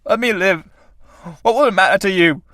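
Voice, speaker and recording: A man playing a battered tramp in a stage-play reading, with rough colloquial speech and a pitying, defiant temper. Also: fairly high pitch, steady tone, normal speed, dry recording, clean studio sound, close to an everyday voice. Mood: desperate, defiant.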